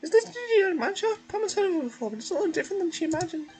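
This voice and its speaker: A woman voicing a small, excitable, childlike female fairy companion in a video game. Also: very high-pitched, rapid-fire, faint music behind, pitched above the performer's own voice. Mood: curious. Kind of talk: video game character dub by a streamer.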